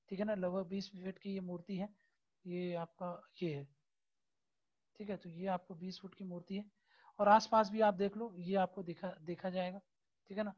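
A male teacher talks through an online lesson in a patient, explanatory way, pausing on fillers and repeatedly asking whether the point is clear. The recording sounds somewhat dull and lo-fi. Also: high voice, a little mellow. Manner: keeps checking understanding with tag questions; frequent hesitation fillers, explanatory and repetitive. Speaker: exam-prep teacher. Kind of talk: online lecture over a screen recording.

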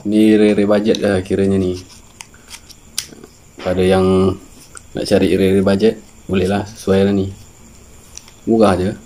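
A Malaysian man in his thirties chatting casually and haltingly as an informal vlogger, often searching for words. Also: deep voice, flat delivery, dark tone, a little hiss or hum, low-quality recording. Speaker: fishing channel vlogger. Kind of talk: casual unboxing chat. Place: small room indoors with guitars on the wall.

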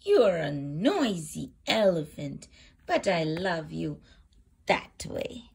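A woman reading a children's storybook aloud as host, with expressive, deliberate phrasing meant for young children. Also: deep voice, gravelly, slight background noise.

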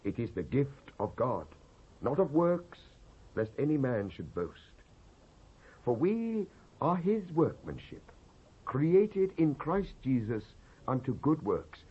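A man's voice: mid-range voice, breathy edge. A British man giving a solemn, declamatory dramatised reading from the Bible. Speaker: Bible reader. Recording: muffled old cassette transfer.

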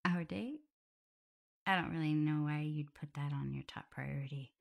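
A woman's voice: low voice, normal speed. A woman playing a shy, caring, self-doubting young werewolf in an intimate ASMR roleplay, speaking hesitantly. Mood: gentle, curious. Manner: hesitant, stammering, soft, breathy whispers at times.

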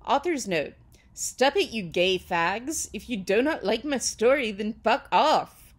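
A woman's voice: fairly high pitch, expressive, a little slow. A woman voicing a melodramatic, angsty teenage goth girl, petulant and indignant, in a dramatic reading of amateur fan fiction. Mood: defiant, petulant. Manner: overwrought, indignant, emphatic.

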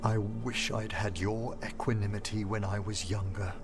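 An actor playing a refined, courteous older gentleman necromancer in a video game, speaking formally and eloquently. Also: fairly low pitch, level tone, moderate pace, lightly acted. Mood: haunted, solemn. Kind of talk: video game dialogue acting. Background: game music.

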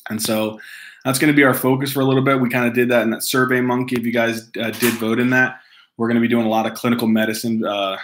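A man's voice: medium pitch, level tone, fast, fairly bright tone. A man in his thirties from Hawaii, reading viewer mail from home to camera in a casual, easily distracted way, laughing often and restarting sentences. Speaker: host reading fan mail. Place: room at home. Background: dogs barking at times.

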